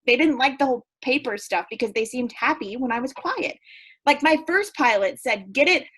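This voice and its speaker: Fairly high female voice, naive, nervous, rambling, anxious young stage character acted by a woman. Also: animated, normal speed, a bit bright, husky, heavily acted. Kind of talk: staged reading of a stage play.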